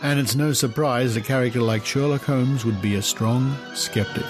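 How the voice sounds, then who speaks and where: An Australian man hosting a podcast, reading aloud in a measured, explanatory way with conversational asides. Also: mid-range voice, fairly even intonation, music in the background. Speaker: podcast host.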